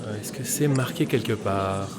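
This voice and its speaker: A man's voice: fairly low pitch, moderate pace, lightly acted. Man playing an awkward newcomer in a busy crowd. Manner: hesitant, full of false starts. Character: polite, awkward male new employee looking lost. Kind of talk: French audio comedy serial dialogue.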